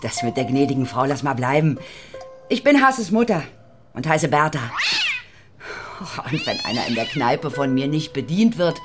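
A woman's voice: low voice, sing-song, normal speed, music in the background. An actress playing a blunt, eccentric, middle-aged working-class mother of a teenage boy in a radio play, earthy and commanding. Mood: blunt, welcoming. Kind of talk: German radio play acting.

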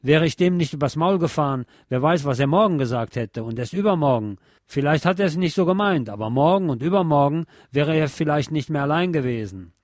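A man's voice: fairly high pitch, expressive, fairly quick, darkish tone, dry recording, studio quality, close to an everyday voice. A man voicing a furious, scornful soldier in a story reading, hissing, mocking and bullying as he speaks. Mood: vehement.

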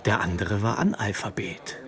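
An actor playing a cool, detached murderer with precise, clipped phrasing in a radio drama. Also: male voice, on the low side, moderate pace, a bit bright, lightly acted. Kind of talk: German radio drama monologue.

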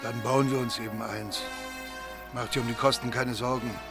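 Commanding gravel-edged mafia boss, played by a man. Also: mid-range voice, steady tone, moderate pace, over music, close to an everyday voice. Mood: assured, genial. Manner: assured, quietly authoritative. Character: commanding mafia boss in hiding, seeking shelter. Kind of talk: radio crime drama acting.

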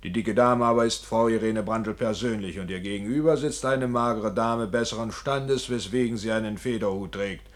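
A man's voice: fairly low pitch, moderate pace, few pauses, lightly acted. An actor playing a ceremonious ballad showman in a radio play, presenting in a formal, declamatory manner. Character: ceremonious male fairground ballad showman presenting picture boards.

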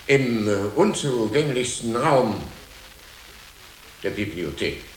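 An actor playing a dutiful, guardedly humble medieval monk librarian in a radio drama, giving formal, deliberate testimony. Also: male voice, fairly low pitch, a bit bright. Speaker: monastery librarian in a radio play. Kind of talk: German radio drama acting. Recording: roomy echo, faint background noise.

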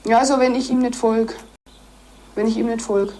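A teenage woman answering an interviewer, haltingly and repeating herself. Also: on the high side, fairly even intonation, warm tone. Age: teens. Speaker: dental assistant. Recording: noisy film soundtrack.

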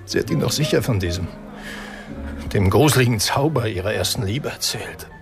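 An actor playing a troubled, hard-drinking writer in a German radio crime drama, brooding and sardonic, speaking haltingly with a creaky voice. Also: male voice, lowish voice, lively intonation, over music. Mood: bitter, accusing.

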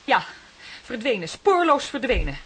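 An actress with a Dutch accent playing an anxious young woman in a radio play, speaking haltingly and repeating her words. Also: a little high, very animated, a bit bright, slight background noise. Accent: Dutch, from the Netherlands. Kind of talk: radio drama acting.

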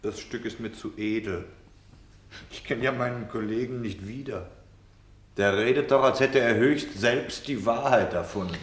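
A man's voice: medium pitch, moderate pace, close to an everyday voice. A man acting a sceptical, mocking colleague in radio-play dialogue, dry and unimpressed. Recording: echoey room.

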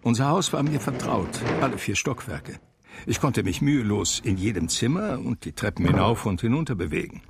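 An actor playing a blind man from a cultured New York family, reminiscing with measured, articulate, gently wry delivery in a German radio drama. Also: mid-range voice, expressive, creaky, background noise. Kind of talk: German radio drama first-person narration.

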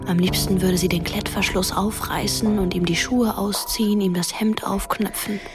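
An actress as a pensive, sober storyteller, giving scripted narration in a German radio drama. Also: female voice, medium pitch, steady tone, moderate pace, music in the background, lightly acted.

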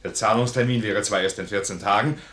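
A man's voice: on the low side, fairly even intonation, brisk, a bit bright, close to an everyday voice. Hearty loyal soldier acted by a man, roomy sound. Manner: plain-spoken, hearty, deferential. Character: loyal, hearty soldier devoted to his major. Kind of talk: 1950s German radio play acting. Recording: roomy 1950s radio studio recording.

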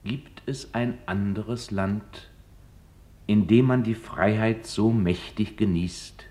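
An actor playing a contemplative philosopher reading from a letter in a radio documentary, in a quiet manner. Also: male voice, fairly low pitch, slow. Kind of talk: scripted quotation in radio documentary.

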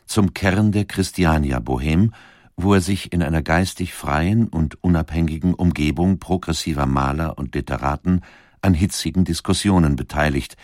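Low voiced guy reading documentary narration, measured and factual, really dry recording. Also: darkish tone, dry recording.

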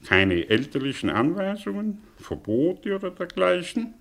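An actor playing an older, sardonic, brilliant fallen intellectual in a German radio drama, speaking theatrically with rhetorical pauses and swells. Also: male voice, high-pitched, big pitch swings, creaky, fairly bright tone, full character voice. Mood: confessional, intense. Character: aging, theatrical, sardonic man, brilliant fallen intellectual confessing his past.

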